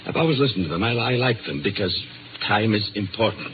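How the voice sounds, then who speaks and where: An actor playing a shaken, punctilious American man in a 1950s radio drama, halting and theatrical. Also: fairly low pitch, expressive, close to an everyday voice. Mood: brooding, resigned. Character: shaken doomed male patient, punctilious and obsessed with time. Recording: tinny, noisy old radio recording.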